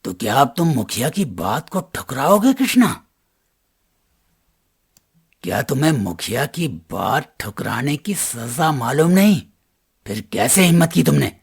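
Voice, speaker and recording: A man's voice: medium pitch, big pitch swings, a little slow, vocal fry, long pauses, husky, full character voice. A man voicing a stern village headman who passes judgment in an authoritative, declamatory way in a cartoon story. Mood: indignant, challenging. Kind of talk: Hindi cartoon moral story dub. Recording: background music under the voice.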